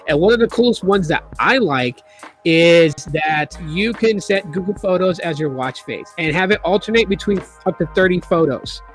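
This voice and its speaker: A man with a slightly high voice hosts a casual tech explainer. He is losing his voice and rambles, with plenty of fillers and restarts. Faint music plays behind him. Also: expressive, normal speed. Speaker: tech video host.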